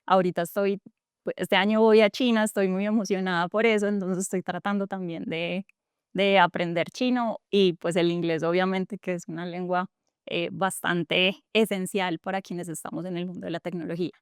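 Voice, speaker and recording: A woman's voice: on the low side, steady tone, moderate pace, clear, resonant tone, dry recording, studio quality. Reflective Colombian woman with a coffee-region accent. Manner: earnest and reflective, frequent fillers and restarts. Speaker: guest, tech entrepreneur. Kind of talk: reflective conversational interview.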